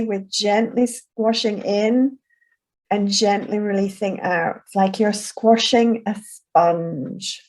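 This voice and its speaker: Woman relaxation instructor, unhurried and soft-spoken, guiding a calm session. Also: mid-range voice, rough recording. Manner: soft, slow and soothing. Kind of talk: guided self-soothing session.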